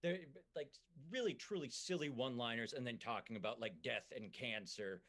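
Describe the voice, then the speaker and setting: A comedian with a Minnesotan accent, chatting on a podcast in a halting way full of fillers and restarts. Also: male voice, mid-range voice, fairly bright tone. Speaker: comedian guest. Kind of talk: casual podcast chat.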